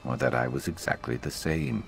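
A man playing a wry, gruff reptilian adventurer companion in a video game, with a gravelly, dry, deadpan grumble. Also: extremely low pitch, normal speed, faint music behind. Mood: brooding.

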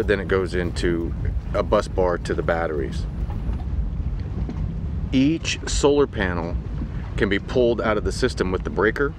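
A man in his forties talking casually and matter-of-factly to camera in halting phrases, explaining gear like a vlogger. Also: fairly low pitch. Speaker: sailing vlogger reviewing equipment. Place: outdoors on a sailboat deck at anchor. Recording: windy outdoor boat deck noise.

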